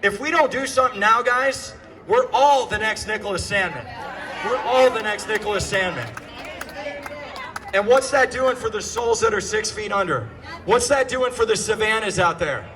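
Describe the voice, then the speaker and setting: A man's voice: sits high, animated, normal speed, some chatter behind. An American man delivering an impassioned political rally speech, projecting his voice and repeating key phrases for emphasis. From United States. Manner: projected, often shouting. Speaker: political activist addressing a rally.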